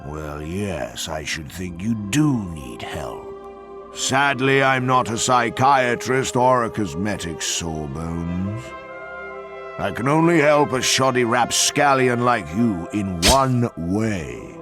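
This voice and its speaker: A man's voice: fairly low pitch, animated, slow, music in the background. A man voicing a haughty, scornful warrior in a game cutscene, pompous and contemptuous. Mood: scornful, grudging. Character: haughty, scornful, grudging old-time warrior hero. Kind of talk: animated game cutscene acting.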